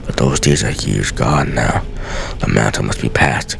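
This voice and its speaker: Aging video game master assassin, acted by a man: rock-bottom pitch, heavy gravelly fry, solemn, weary, measured journal delivery. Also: background noise, full character voice. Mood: grave, concerned. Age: older. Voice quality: very deep, gravelly. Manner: grave, measured, theatrical dramatic reading.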